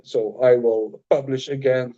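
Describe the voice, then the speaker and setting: Dutch guy cohosting a podcast, halting, restarts a lot, kinda muffled sound. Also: mid-range voice, fairly even intonation, warm tone. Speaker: podcast co-host and author. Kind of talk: casual podcast chat.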